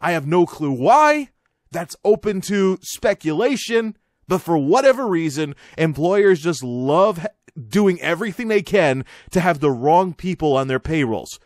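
A man's voice: fairly high pitch, lively intonation, measured pace, no echo, studio quality. An American man hosting a radio-style commentary, reading news and ranting about it with wry, emphatic asides. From United States. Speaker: host of an online radio-style commentary show.

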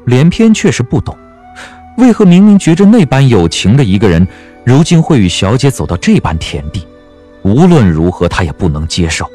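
A man narrating an audiobook drama in a measured, dramatic storytelling manner. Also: mid-range voice, very animated, dark tone, soft background music.

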